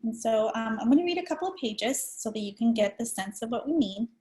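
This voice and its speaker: A woman's voice: a little high, animated, fairly quick, darkish tone. A woman in her forties from El Salvador, giving an early-education training presentation in an explanatory, personal manner.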